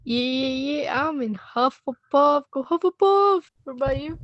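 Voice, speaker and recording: A woman's voice: sits high, lively intonation, a little slow, no echo, highs cut off. A woman whose first language is Spanish, a laid-back podcast guest who repeats words as she gets started. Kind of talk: casual podcast chat.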